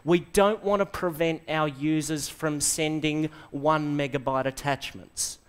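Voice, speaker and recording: A middle-aged man with an Australian accent giving an enthusiastic software presentation to a live audience, quizzing the room and dropping quick asides. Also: on the high side, clear, resonant tone. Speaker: conference presenter. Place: lecture hall with seated audience.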